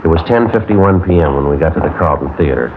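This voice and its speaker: An actor playing a terse, businesslike police sergeant with a clipped, deadpan delivery in a 1950s radio drama. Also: male voice, rumbling low, quick pace, noisy background. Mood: flat, factual. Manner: clipped, matter-of-fact deadpan. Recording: tinny vintage radio recording with music.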